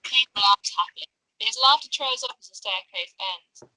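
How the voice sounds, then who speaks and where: A woman's voice: a little high, expressive, moderate pace, sharp tone, a little hiss or hum, poor audio. A woman narrating the stage directions of a script at a table read, occasionally stumbling over the text.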